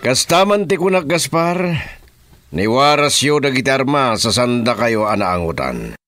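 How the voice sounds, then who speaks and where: A man's voice: mid-range voice, animated, unhurried, a bit bright, soft background music. An actor playing a stern, domineering crime boss giving orders to his henchmen in an Ilocano radio drama.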